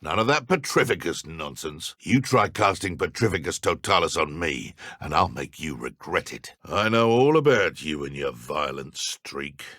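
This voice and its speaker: An actor voicing a menacing, taunting dark wizard poacher in a video game, with a British accent and a gravelly, theatrical, sneering delivery. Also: male voice, a bass voice, very animated, normal speed, hardly any pauses, dry recording, studio quality, exaggerated voice. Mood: sneering menace. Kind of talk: video game combat voice lines.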